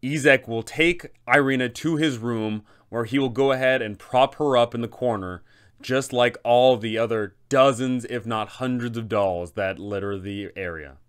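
Man, thirties, medium pitch, explanatory storytelling, emphatic, tabletop game guide host. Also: normal speed, clean tone. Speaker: host and game master giving advice.

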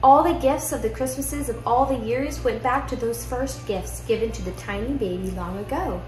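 A woman's voice: a little high, normal speed. Young woman reading a storybook, roomy sound. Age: young adult. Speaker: tutor reading a picture book aloud. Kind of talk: children's storybook read-aloud. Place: indoor living room at home. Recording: roomy echo, music behind.

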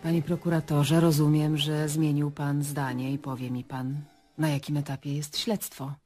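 A woman's voice: low voice, little pitch movement, moderate pace, mellow, soft background music, natural voice. An actress playing an evasive woman journalist in a radio drama, cornered and indignant in her denials. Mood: feigned composure. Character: defensive, evasive female journalist cornered as murder suspect. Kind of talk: Polish radio drama acting.

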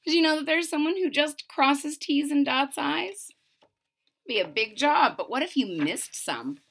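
Animated, high-voiced woman reading a storybook. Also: unhurried. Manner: animated, emphatic, sing-song rhyming delivery. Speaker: storyteller reading a picture book aloud. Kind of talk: expressive children's read-aloud with asides. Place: small furnished room at home.

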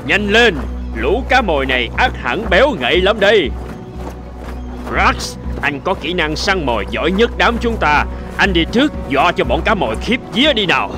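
A man voicing a boastful, greedy seabird in a cartoon, swaggering and exclaiming. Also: high-pitched. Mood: eager. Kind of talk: cartoon dubbing.

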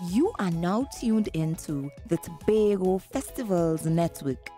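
A woman's voice: fairly low pitch, expressive, unhurried. A woman reading a scripted radio sponsor announcement as a network announcer. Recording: loud music under the voice.

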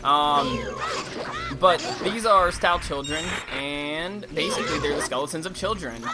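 Rambling young man with a slight lisp. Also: measured pace. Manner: rambling, swearing, bursts into silly high put-on voices. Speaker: let's play commentator. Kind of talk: casual gameplay commentary. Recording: game music loud behind voice.

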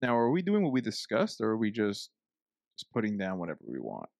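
A man's voice: on the low side, wildly swooping pitch, fairly quick, vocal fry, a little mellow, no room sound, studio quality. A man chatting casually as a guest on a livestream. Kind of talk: casual chat.

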